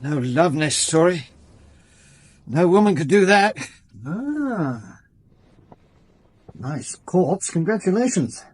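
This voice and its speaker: Husky, animated police technician. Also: male voice, fairly high pitch, slow, long pauses, heavily acted. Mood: wry, matter-of-fact. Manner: bossy, matter-of-fact, sardonic. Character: brisk, wry male police technician at a crime scene. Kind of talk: radio drama acting.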